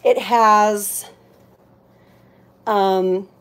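A woman's voice: mid-range voice, fairly even intonation, very slow, smooth. A woman hosting a live auction in casual, chatty talk, thinking aloud as she handles items. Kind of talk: casual livestream sales chat.

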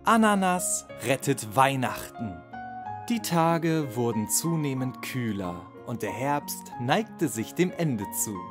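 Bedtime story narrator for children: mid-pitched, unhurried, lively intonation, music underneath. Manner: expressive storytelling.

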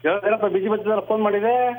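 A man's voice: high-pitched, steady tone, racing. Casual man on a noisy-crowd phone call. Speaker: caller assisting the prank. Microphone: telephone. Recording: phone call with clamorous background.

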